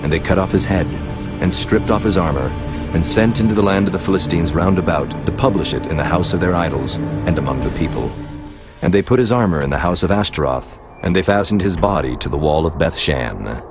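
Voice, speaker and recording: A man's voice: low-pitched, animated, brisk, over loud music. A man narrating scripture from a script in a solemn, formal manner.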